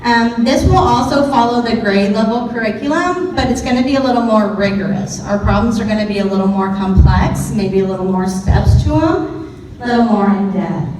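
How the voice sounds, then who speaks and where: A woman's voice: a little high, moderate pace. Woman, teacher addressing parents, projecting and informal, heard distantly with heavy echo. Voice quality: loud, projecting voice. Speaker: middle school math teacher. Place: large echoing indoor room with ambient noise. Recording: echoey hall from far off, faint noise.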